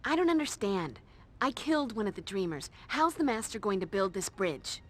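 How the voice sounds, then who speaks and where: A woman's voice: mid-range voice, sing-song, moderate pace. An actress playing a tough young female vampire slayer who talks in a dry, sarcastic, commanding way. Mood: wry. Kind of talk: video game voice acting.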